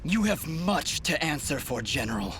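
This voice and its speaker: Earnest, dramatic young hero. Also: male voice, fairly high pitch, very animated, normal speed, noisy background. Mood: defiant. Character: earnest, troubled young male hooded swordsman hero. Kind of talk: dramatic video game acting.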